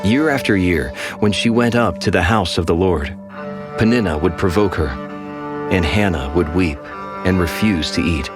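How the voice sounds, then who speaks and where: Deep low man narrating bible, slow solemn, music bed. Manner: measured, solemn delivery. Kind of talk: scripted scripture narration. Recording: strong music underneath.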